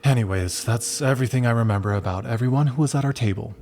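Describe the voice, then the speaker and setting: A man's voice: mid-range voice, quick pace, darkish tone, a little hiss or hum, close to an everyday voice. A male actor plays an earnest young man with a mid-range voice, like a character in a narrative game. He talks in a rambling, conversational way, second-guessing himself and slipping into awkward, gossipy asides. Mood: earnest, joking. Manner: rambling, self-correcting, conversational.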